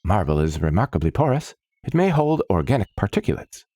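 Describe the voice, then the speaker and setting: A man's voice: on the low side, big pitch swings, a little fast, warm tone, dry recording, clean studio sound, pitched above the performer's own voice. A man voicing an eager, long-winded woman scientist in a fantasy story, with precise, pedantic wording. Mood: keen, clinical. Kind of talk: fantasy audiobook character.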